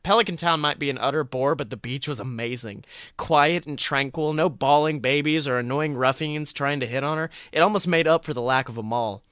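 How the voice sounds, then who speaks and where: Bright, forceful male story reader. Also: on the high side, brisk, smooth, dry recording, clean studio sound. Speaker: narrator reading fan fiction. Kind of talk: expressive story reading.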